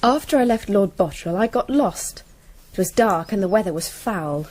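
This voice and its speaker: A woman's voice: mid-range voice, animated, normal speed, darkish tone, lightly acted. An actress playing a headstrong, earnest young noblewoman in a radio drama. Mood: composed, courteous.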